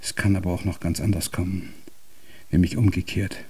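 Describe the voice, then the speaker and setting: An actor playing a deaf, cantankerous old composer in a German radio drama, speaking in an ornate, pompous and convoluted way. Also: male voice, deep voice, little pitch movement, fairly quick, a little mellow, husky. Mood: brooding, intense.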